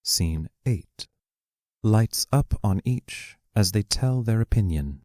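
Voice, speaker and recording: A low-pitched man acting as narrator reads scripted stage directions for an audio drama. He is measured and matter-of-fact, and the sound is close and dry. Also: expressive, warm tone, no echo.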